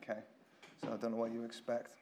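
A man preaches to his church with a hoarse, croaky voice. His style is casual and conversational, full of repeated phrases and small restarts, and his pitch sits in an ordinary middle range. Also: completely flat delivery, fast talker, dark tone, slight background noise. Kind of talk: informal church sermon. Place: church hall with congregation.

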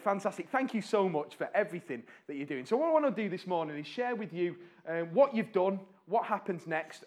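A man from North West England, speaking in a church for a charity, chatty, with fillers and questions to the crowd. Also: fairly high pitch. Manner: warm-hearted, chatty, asks the audience questions; fillers and restarts, pauses while slides change. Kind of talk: church talk to a congregation.

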